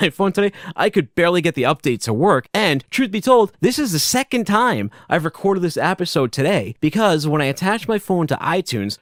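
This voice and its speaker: A man hosting a solo podcast from his basement, talking loudly and casually, rambling and chuckling as he goes. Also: a little high, no room sound, clean studio sound. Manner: animated, rambling, self-interrupting, chuckles often; loud voice. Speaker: podcast host. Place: home basement.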